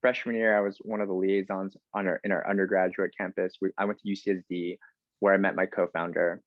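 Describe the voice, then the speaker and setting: A man's voice: low-pitched, little pitch movement, quick pace, no echo, phone-quality sound. A man in his thirties from the United States, talking casually as a podcast guest with lots of fillers and restarts. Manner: chatty, many fillers and restarts. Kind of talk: casual interview conversation.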